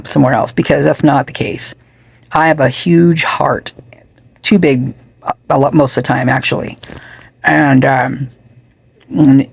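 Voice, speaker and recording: A woman's voice: low voice, gravelly, a little mellow, husky, no echo. A woman losing her voice, chatting casually and rambling with many asides as a true crime commentator.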